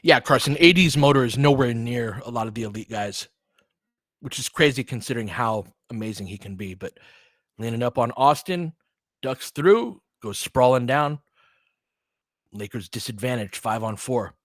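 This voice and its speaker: A man's voice: mid-range voice, moderate pace, fairly bright tone, no echo, clean studio sound. A man doing live basketball play-by-play in short clipped phrases, with casual, partisan asides like a fan commentator.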